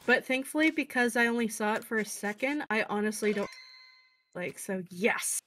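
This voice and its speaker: A woman co-hosting a gaming video in casual chat, with an excitable, giggly manner. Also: a little high, normal speed, a little hiss or hum. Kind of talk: casual chat over gameplay. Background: music.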